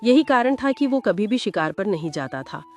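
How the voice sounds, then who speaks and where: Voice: mid-range voice, very animated, normal speed. A narrator telling a scripted moral story for a cartoon. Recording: loud music under the voice.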